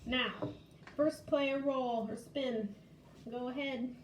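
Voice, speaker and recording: A woman's voice: sits high, steady tone, takes it slowly, a little hiss or hum, rough recording. A woman hosting a children's library storytime, casually showing a craft and game step by step in simple words.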